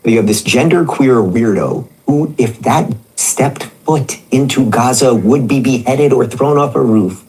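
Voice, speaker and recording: A man's voice: medium pitch, a little slow, few pauses, darkish tone, rough recording. Guy on the show sounding fed up and blunt, mid-range voice, swears a bit. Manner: incredulous, blunt, crude. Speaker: guest commentator. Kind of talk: casual chat commentary.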